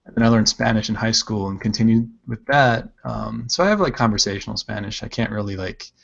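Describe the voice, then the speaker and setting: A man hosting a casual art livestream, chatting while he draws, relaxed and rambling with plenty of filler words. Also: lowish voice, fairly quick, creaky, highs cut off. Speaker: livestream host, digital artist.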